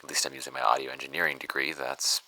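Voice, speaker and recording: A man's voice: a bass voice, flat delivery, fast, pushed and bright, full character voice, poor audio. An American actor playing a wry young man who hosts a podcast about old case files, sardonic and conversational, in an audio drama. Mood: wry, self-deprecating. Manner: sardonic, self-deprecating, conversational. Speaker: podcast host character. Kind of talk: audio fiction podcast monologue.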